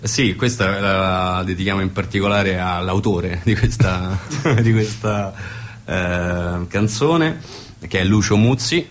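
An Italian man chatting casually as he introduces songs as a band member, hesitant and halting. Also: low voice. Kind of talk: casual chat between songs.

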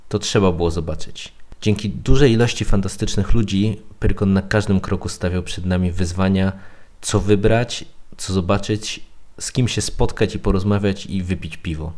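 A man's voice: sits low, fairly even intonation, moderate pace, darkish tone. A man speaking as a podcast guest, giving a prepared spoken review.

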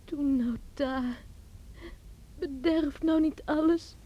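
A woman's voice: sits high, steady tone, takes it slowly, dark tone, highs cut off. An actress in a Dutch radio play, playing a distressed young country woman who pleads in anguish. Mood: pleading.

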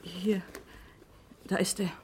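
A woman's voice: lowish voice, level tone, slow pace, a little mellow, slight background noise. An actress playing a well-off lady of the house, composed and decisive with a fretful edge, in a German radio crime play. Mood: worried, firm.

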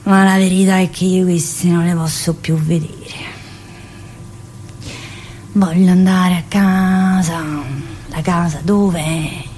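A woman's voice: fairly low pitch, fairly even intonation, slow pace, pauses often, darkish tone, a little hiss or hum. An actress playing a chatty, wistful mother in prison, in a colloquial theatrical monologue with a Southern Italian, Neapolitan-tinged accent. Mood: weary. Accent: Southern Italian, Neapolitan-influenced. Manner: colloquial, addressing listeners with frequent tag questions.

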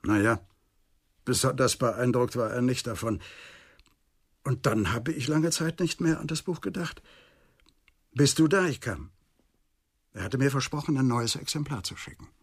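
Weary middle-aged lawyer, husky, thoughtful and halting, for a radio crime play. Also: male voice, mid-range voice, expressive. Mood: wistful, reflective. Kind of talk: German radio crime drama acting.

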